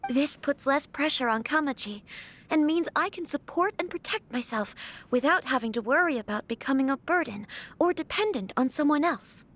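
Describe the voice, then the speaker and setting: A woman's voice: high-pitched, expressive, moderate pace, fairly bright tone. An actress playing a polite young noblewoman and clan heiress in a video game, earnest and formal in speech. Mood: resolved. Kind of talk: scripted video game character dialogue.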